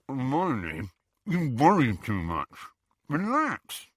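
A man's voice: mid-range voice, huge pitch swings, moderate pace, bright, forceful tone, husky, no echo, clean studio sound, full character voice. A man voicing a grumpy, sarcastic teenage boy in an audiobook, blunt and irritable. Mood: dismissive.

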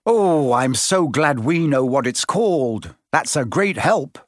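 A man's voice: medium pitch, lively intonation, normal speed, a bit bright, slightly rough, dry recording, studio quality. A man voicing a blunt, sarcastic, exasperated schoolboy wizard in a storybook reading.

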